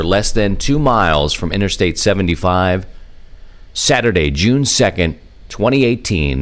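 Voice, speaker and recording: Deep-voiced, folksy male podcast host. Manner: playful, folksy, rambling with self-deprecating asides. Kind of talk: casual radio-style chat and announcements.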